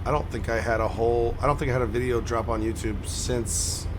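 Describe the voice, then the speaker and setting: An older man with a hoarse, damaged voice, chatting casually and rambling while he plays a truck-driving game. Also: mid-range voice, steady tone, brisk. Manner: casual, rambling, soft-spoken. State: hoarse, voice damaged after illness. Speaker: gaming channel host. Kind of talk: rambling chat over truck-driving gameplay. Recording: loud game truck engine noise behind voice.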